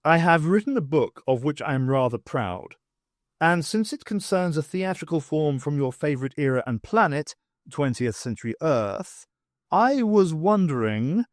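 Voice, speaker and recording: A man's voice: a little high, very animated, moderate pace, dry recording. A man acts out a mannerly senior scholar from an audiobook. He talks in formal, ornate phrases, with varied intonation and a dry, close sound. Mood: proud, wistful. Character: courteous, formal, lonely male senior academic.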